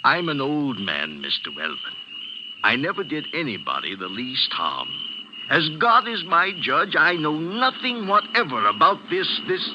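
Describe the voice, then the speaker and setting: An actor playing a frail, elderly, indignant professor in an old radio drama, formal and protesting in manner. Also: male voice, fairly high pitch, huge pitch swings, unhurried. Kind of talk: 1940s radio acting. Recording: noisy, muffled old radio recording.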